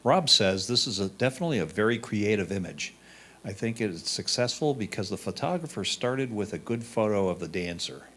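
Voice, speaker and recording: A man's voice: lowish voice, expressive, fairly quick, fairly bright tone. A man reading a judge's written photo comments aloud. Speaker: reader of judges' critiques.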